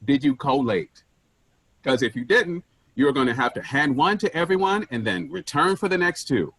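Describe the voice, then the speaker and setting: A man playing a pushy, penny-pinching office boss in a staged dark comedy, blunt and self-important as he lectures. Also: medium pitch, animated, normal speed, dry recording. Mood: lecturing.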